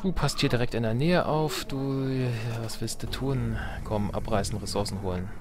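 A man's voice: medium pitch, moderate pace, noisy background. A man giving casual gaming commentary, talking to himself in a playful, sarcastic manner. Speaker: let's player.

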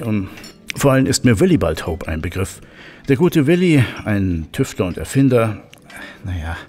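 Man playing a lively, jovial bookish professor. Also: lowish voice, husky. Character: genial, chatty male professor of technical history.

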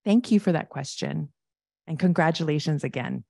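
A woman keynote speaker with a low, gravelly voice answers questions from the audience in a large conference hall. She talks in a loose, conversational way. The sound is dry and close. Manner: conversational, warm thanks, acts out quick quoted asides.

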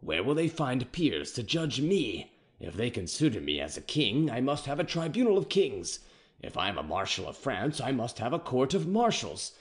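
A man reading an audiobook, voicing a proud, defiant captive king who speaks in a scornful, measured way. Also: medium pitch, wildly swooping pitch.

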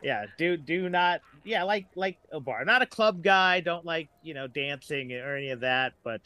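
Bright-voiced American man in his forties. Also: a little high, expressive, moderate pace, clean tone. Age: late 40s. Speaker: guest, writer. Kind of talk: casual podcast conversation.

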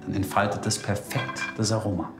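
A man's voice: lowish voice, steady tone, brisk, close to an everyday voice. Guy playing an eager diet coach in a tv comedy, echoey room, music behind. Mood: instructive. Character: male nutrition coach, instructive, eager health advocate. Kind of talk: comedy crime series acting.

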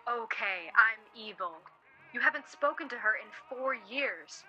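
A woman's voice: on the high side, lively intonation, unhurried, pushed and bright, lo-fi sound, faint music behind, heavily acted. An actress playing an insistent, concerned woman in a naturalistic radio drama, pleading and exasperated. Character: insistent, concerned woman persuading a stubborn man.

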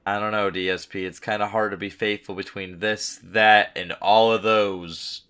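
A man's voice: fairly low pitch, little pitch movement, normal speed, bright, forceful tone, smooth. A man giving profane, sarcastic voice-over commentary that mocks a streamer. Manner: profane, mocking, ranting. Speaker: commentary narrator mocking a streamer.

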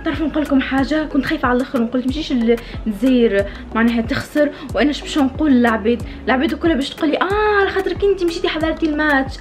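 A young woman with a Tunisian accent talks quickly and playfully to her followers while holding a phone at arm's length. Her voice sits fairly high, and she's indoors at a friend's place with soft music running underneath. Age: young adult. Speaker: vlogger. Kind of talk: casual selfie vlog talk. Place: room in a friend's home, indoors. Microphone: phone held at arm's length.

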